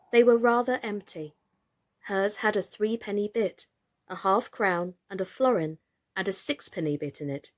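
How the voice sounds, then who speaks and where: A woman storyteller narrating a children's book aloud in an expressive, deliberate way. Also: medium pitch. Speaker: storyteller, actress reading aloud.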